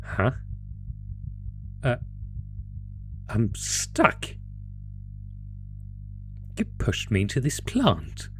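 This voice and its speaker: A man's voice: medium pitch, wildly swooping pitch, a bit bright, faint music behind, full character voice. A man playing an ancient, predatory monster who theatrically taunts human prey in a horror audio roleplay. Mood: puzzled, startled. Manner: theatrical, savoring, taunting, drawn-out phrasing.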